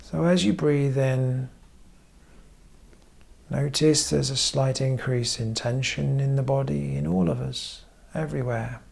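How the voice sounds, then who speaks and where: A man guiding a meditation as a therapist, giving gentle instruction in short, measured phrases with frequent pauses. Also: medium pitch, steady tone, gravelly, slightly rough. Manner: measured, pausing often between short phrases. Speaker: therapist leading guided meditation.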